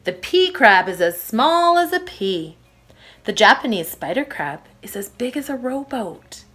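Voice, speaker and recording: A woman plays a fisherman dad from a children's storybook. He is calm and knows his trade, and teaches with firm emphasis and a lively, moving pitch. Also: a little slow. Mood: instructive.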